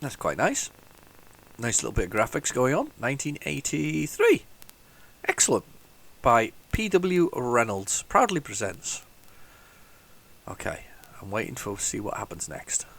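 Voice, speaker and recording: A man hosting a retro gaming video, talking casually while loading old tapes, with a head cold and a rambling, restart-filled way of talking that jumps into excited bursts. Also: medium pitch, unhurried, creaky. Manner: rambling, chatty, lots of restarts and sudden excited outbursts. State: has a head cold, sniffly.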